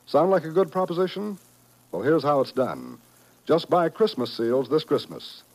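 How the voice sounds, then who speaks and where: A male radio announcer with a fairly high voice delivers a scripted appeal. He is earnest and persuasive, in the measured style of a 1950s broadcast. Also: sing-song, rough recording.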